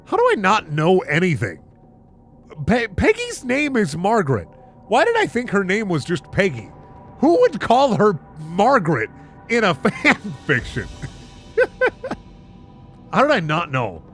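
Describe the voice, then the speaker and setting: A man's voice: high voice, wildly swooping pitch, a little slow, long pauses, fairly bright tone, faint music behind. A man hosting a comedic reading of bad fan fiction, narrating with incredulous, sardonic asides. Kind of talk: comedic narration with sarcastic commentary.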